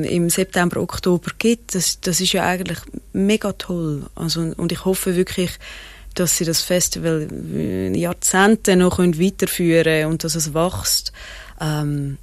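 A woman's voice: lowish voice, no echo. A woman with a Swiss German accent, an actress guest chatting casually, laughing often and mixing in English phrases. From Switzerland. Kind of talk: casual interview chat.

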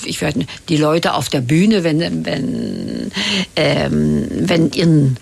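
Older lady guest, raspy and a bit low, lots of ums and restarts. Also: huge pitch swings, takes it slowly, darkish tone. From Italy. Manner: hesitant, many fillers and restarts. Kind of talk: casual interview chat.

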